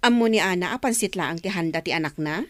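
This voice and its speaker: A woman's voice: fairly low pitch, fast talker, fairly bright tone, dry recording, natural voice. A woman playing a frank, sympathetic but critical relative in a radio drama.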